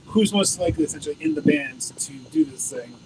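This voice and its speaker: A man with an American accent hosts a casual interview with a gravelly, fry-laced voice. He talks loud and keeps things light and joking. Noise hangs behind him in the room. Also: sits high, huge pitch swings, a little fast. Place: bowling alley venue. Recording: noisy location recording.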